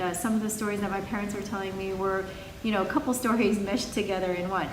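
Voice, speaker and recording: A woman's voice: lowish voice, noisy background. A middle-aged woman from the United States with an American accent, giving an informal testimonial as a parent. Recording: roomy echo, distant microphone.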